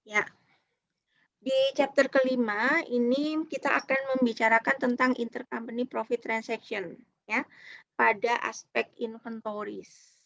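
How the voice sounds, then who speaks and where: A woman with an Indonesian accent giving an explanatory lecture as a university teacher, speaking haltingly and often checking in with short tag words. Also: fairly high pitch, fairly even intonation, gravelly, a bit bright, dry recording, muffled recording. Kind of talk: online accounting lecture, explanatory.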